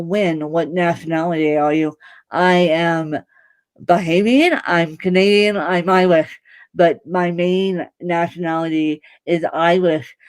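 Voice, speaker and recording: Woman guest on a video call, very slow deliberate speech, emphatic and funny, dry close sound. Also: deep voice, a little mellow, clean tone, dry recording. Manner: emphatic, humorous storytelling. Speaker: podcast guest and fellow podcast host. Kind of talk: casual video interview.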